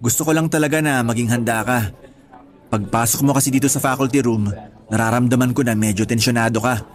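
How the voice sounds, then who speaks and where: A man's voice: mid-range voice, steady tone, brisk, close to an everyday voice. A man playing a friendly, reassuring teacher colleague in a radio drama, speaking in an easygoing, conversational way. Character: friendly, reassuring young male high school teacher colleague. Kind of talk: Tagalog radio drama acting.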